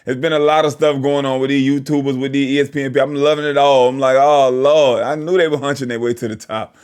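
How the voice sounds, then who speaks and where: A man's voice: mid-range voice, fairly even intonation, fast talker, a little mellow, smooth. Profane joking man reacting to a clip. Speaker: channel host reacting to a clip. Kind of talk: casual reaction commentary.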